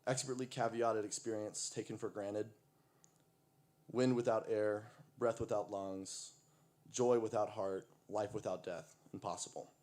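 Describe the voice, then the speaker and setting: A man's voice: on the low side, steady tone, unhurried, darkish tone. An American man who grew up in Texas and East Africa, performing as an amateur poet with casual introductions at an open mic. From United States (Texas), later East Africa. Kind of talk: poetry recital with casual introductions. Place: live open mic event at a coffee venue.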